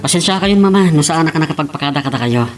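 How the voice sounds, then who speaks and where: A man's voice: on the high side, expressive, racing, music in the background, natural voice. A man acting an eager, polite young man in love in an Ilocano audio drama. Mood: eager, respectful. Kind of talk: radio-style drama acting. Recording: clearly noisy background.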